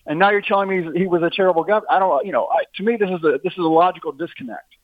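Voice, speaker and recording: A man's voice: sits high, rapid-fire, dry recording. A man on a phone line, a guest arguing politics in a casual talk-show chat and leaning on sports comparisons. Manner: talkative, uses sports analogies.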